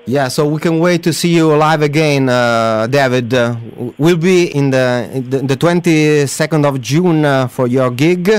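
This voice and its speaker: A male radio interviewer, a native Italian speaker, asks questions in accented English. His voice sits a little high for a man. He speaks haltingly, repeating small words as he searches for the next one. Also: clean tone, no room sound. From Italy.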